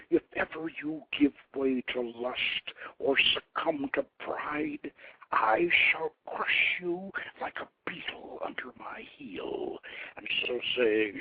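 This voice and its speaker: A man reading a scripted story aloud as a theatrical, deliberate and formal narrator, over a narrow, tinny phone-line connection. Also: mid-range voice, dry recording. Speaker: host reading his own novelette.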